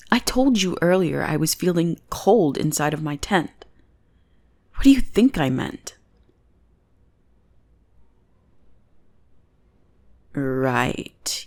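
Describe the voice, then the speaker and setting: Woman acting a creaky, teasing goth bully girl. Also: sits low, a little mellow, heavily acted. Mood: exasperated. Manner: mocking, drawling, intimate teasing. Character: teasing goth bully girl, secretly smitten, bossy and exasperated. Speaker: voice actor in audio roleplay. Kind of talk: ASMR roleplay acting.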